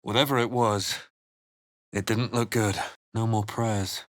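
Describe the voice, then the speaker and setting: A man playing a grave, watchful adventurer hero in a fantasy video game, speaking in a measured, dramatic, introspective way. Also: fairly low pitch, fairly even intonation, a bit bright, no room sound, studio quality. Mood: grave, brooding. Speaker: video game player character. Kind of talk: scripted narration and character lines for a fantasy game.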